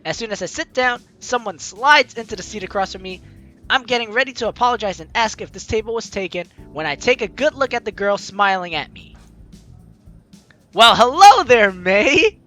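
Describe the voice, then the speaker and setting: A woman's voice: mid-range voice, normal speed. A woman hosting a casual let's play, reading game text aloud and reacting chattily. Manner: animated, chatty reactions to the story. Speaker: gaming channel host. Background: game music.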